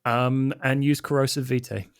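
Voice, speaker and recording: A man's voice: mid-range voice, little pitch movement, normal speed, gravelly. A man chatting casually as a player in a tabletop roleplaying game, describing what his character does.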